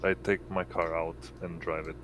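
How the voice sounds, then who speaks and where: A man's voice: low voice, level tone, normal speed. A man speaking casually as a player in a tabletop game, in short remarks. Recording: clearly noisy room, voice away from mic.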